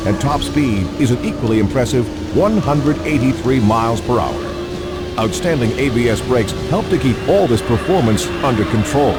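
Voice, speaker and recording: A man with a medium-pitched voice delivers scripted showcase narration. His announcer delivery is polished and measured, with very varied intonation. Music plays clearly behind him. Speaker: narrator of a video game's car showcase. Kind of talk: scripted promotional documentary narration.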